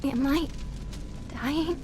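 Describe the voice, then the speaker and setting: An actress playing a dutiful, calm young female ninja student in a video game cutscene, speaking in a halting, tender, earnest way. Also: high voice. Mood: weak, resigned. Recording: clear ambient noise behind.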